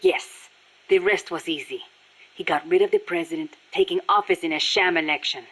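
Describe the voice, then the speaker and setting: A woman's voice: fairly low pitch, normal speed, fairly bright tone, husky, rough recording. A woman voicing a passionate, embittered Nicaraguan Sandinista guerrilla with a Spanish accent in a video game, speaking in a solemn, earnest way. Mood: grave. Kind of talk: scripted video game voice acting.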